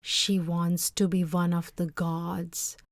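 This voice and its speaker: A woman's voice: fairly low pitch, flat delivery, slow, no echo. A woman voicing a humble, fearful fisherman in a storybook, pleading earnestly. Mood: weary pleading. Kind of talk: storybook read-aloud character voice.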